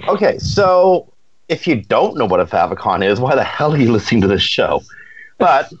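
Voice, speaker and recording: A man's voice: mid-range voice, very animated, moderate pace, breathy edge, no room sound, highs cut off. A man co-hosting a casual talk show, explaining things with playful, jokey asides. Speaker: podcast co-host. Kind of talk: casual chat.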